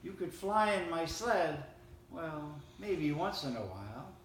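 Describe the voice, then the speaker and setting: A man's voice: on the high side, animated, slow, a little hiss or hum. A man voicing a jolly old Santa Claus in a storybook poem, heartily. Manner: hearty rhyming quotes within a read poem. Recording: roomy, distant mic, faint ambience.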